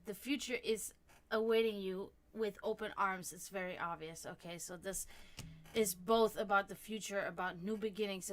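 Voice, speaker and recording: A woman born and raised in Germany, with German as her first language, chatting warmly as she reads tarot cards for viewers on a live stream. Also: mid-range voice. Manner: chatty, animated, laughs often, talks to chat.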